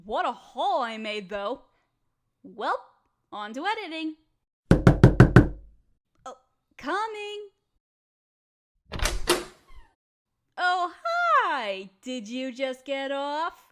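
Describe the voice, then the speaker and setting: A woman plays a young female roommate cooped up at home in a casual audio drama. Her voice is high, and she is bored and restless. She chats in slang with a dramatic, playful grumble, speaking straight to the listener. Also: very noisy, full character voice. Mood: upbeat, playful. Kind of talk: casual scripted roleplay audio, talking to the listener.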